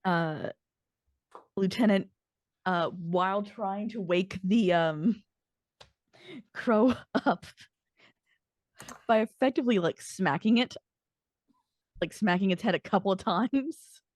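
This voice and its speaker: A woman playing a tabletop roleplaying game, improvising her character's actions in a halting, animated way. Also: medium pitch, dry recording, studio quality.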